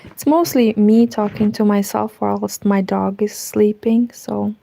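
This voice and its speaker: Woman, Romanian accent, casual knitting stream, rambling, half-whispered asides, gravelly. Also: mid-range voice, flat delivery, a little slow, mellow. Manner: rambling, talks to herself, drifts into whispers. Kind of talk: casual chat while knitting. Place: home room with curtains.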